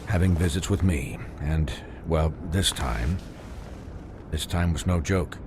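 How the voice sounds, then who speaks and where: An actor playing a dry-witted, weary doctor in a video game, formal, courteous and a little condescending. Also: male voice, rumbling low, slow, background noise, heavily acted. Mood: dry, stern.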